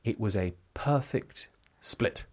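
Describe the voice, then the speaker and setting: A man's voice: lowish voice, slow, darkish tone, no room sound. A man in his forties who grew up in Brussels, speaking as an interview guest. He talks in a reflective, articulate and wry way, full of restarts and fillers.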